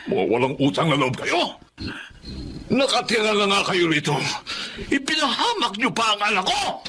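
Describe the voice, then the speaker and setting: Furious high-pitched Filipino landlord. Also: male voice, big pitch swings, moderate pace, sharp tone, full character voice. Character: furious, spiteful older landlord, harsh and bullying. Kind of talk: Filipino radio drama acting. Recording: noisy radio drama recording, clicks.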